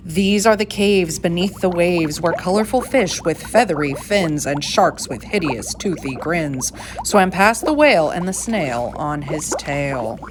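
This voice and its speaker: A woman reading a rhyming picture book aloud to schoolchildren in a measured, singsong way with dramatic emphasis. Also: lowish voice, expressive, noisy background. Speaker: storybook reader for schoolchildren.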